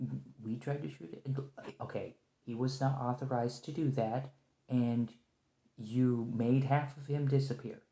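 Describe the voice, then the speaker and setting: A man playing a nervous, conciliatory special agent held captive, speaking hesitantly and softly in an ASMR roleplay with an echoing lo-fi sound. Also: medium pitch, fairly even intonation, gravelly. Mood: apologetic, explaining. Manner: hesitant, halting, stammering, soft-spoken. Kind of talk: ASMR roleplay, one-sided point-of-view dialogue. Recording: roomy lo-fi echo.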